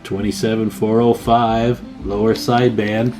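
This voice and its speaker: A man with a fairly low voice talks casually through a hands-on demonstration, with plucked guitar music clearly playing behind him. He comes across as an informal, folksy hobbyist host speaking straight to his viewers. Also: level tone, a little slow, a little mellow. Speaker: radio repair hobbyist and video host.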